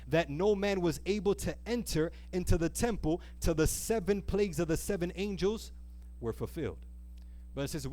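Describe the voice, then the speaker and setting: A man's voice: a little high, dark tone. A man preaching an earnest sermon, reading scripture and putting rhetorical questions to listeners, stretching key phrases for emphasis. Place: live church service.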